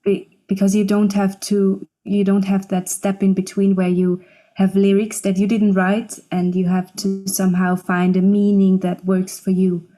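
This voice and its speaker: A singer-songwriter in her twenties speaks as a guest in a casual interview. She is a German speaker. Her voice is soft-edged and dark, at an average pitch for a woman, and she talks reflectively. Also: monotone, normal speed. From Switzerland. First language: Swiss German. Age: 20s.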